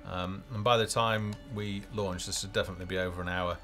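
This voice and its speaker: A man's voice: low voice, steady tone, a little fast, fairly bright tone. A man hosting a casual game developer live stream, talking off the cuff in a hesitant, rambling way as he thinks aloud. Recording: music playing behind the voice.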